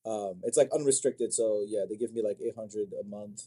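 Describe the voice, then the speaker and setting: A man's voice: on the low side, normal speed, dark tone, breathy edge. A man from Senegal chats casually as an interview guest, agreeable and slangy, often repeating short affirmations. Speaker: guest, manga creator. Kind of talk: casual chat. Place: own art studio.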